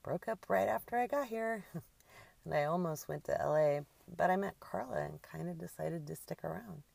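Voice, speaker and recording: A woman's voice: on the low side, fairly even intonation, creaky, dark tone, dry recording, voice pushed up. A woman voicing an upbeat, self-amused young woman artist with a casual, chatty manner in an audiobook. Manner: chatty, casual, quick to laugh.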